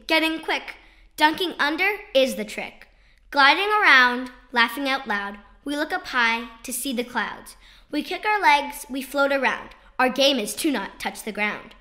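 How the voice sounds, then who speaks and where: A woman reads children's poems in a notably high voice with a bright, forward tone. Her delivery is playful and sing-song, leaning on key words with clear emphasis, as in a storybook read-aloud. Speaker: children's poetry author and reader.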